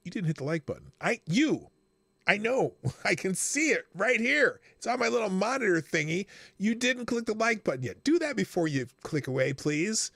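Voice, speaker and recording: A man's voice: sits high, normal speed, vocal fry, no echo, studio quality. A man hosting his own live stream, chatting casually and signing off to viewers. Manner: chatty, animated sign-off with asides.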